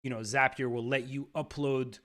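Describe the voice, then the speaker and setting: A man's voice: mid-range voice, fairly even intonation, moderate pace, fairly bright tone. A man hosting a live stream, talking casually in an upbeat way, with lots of fillers and repeated words.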